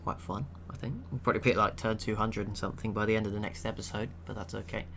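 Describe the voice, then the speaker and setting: Man, young adult, low voice, chatty gaming voice-over, faint background hum. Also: fast talker, creaky. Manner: relaxed, chatty, laughs to himself. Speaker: gaming channel host. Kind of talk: casual commentary over an AI-only strategy game.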